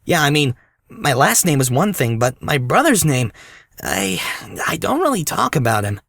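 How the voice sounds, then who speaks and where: Casting: man as young reluctant hero for audio drama, animated, sardonic, fed up, recorded close and dry. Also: on the high side, moderate pace, creaky, no echo, heavily acted. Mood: uneasy, sardonic. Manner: sarcastic, exasperated. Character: exasperated, sarcastic, reluctant young male hero. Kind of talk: fan audio drama acting.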